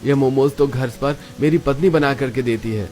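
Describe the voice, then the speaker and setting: A man's voice: medium pitch, normal speed, a little mellow, close to an everyday voice. A man voicing a poor, honest, earnest street food vendor in a cartoon story. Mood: pleading. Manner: earnest, pleading. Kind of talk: Hindi cartoon story dialogue. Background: background music.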